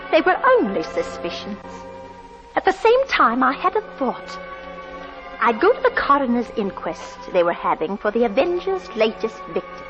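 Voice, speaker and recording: A woman's voice: fairly high pitch, huge pitch swings, normal speed. A woman playing a fearful middle-aged landlady and mother, narrating breathlessly and melodramatically in 1940s radio style. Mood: tense confiding. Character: anxious, suspicious landlady, wife and mother. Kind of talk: 1940s radio acting, dramatic first-person narration. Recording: dull 1940s radio recording. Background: dramatic music.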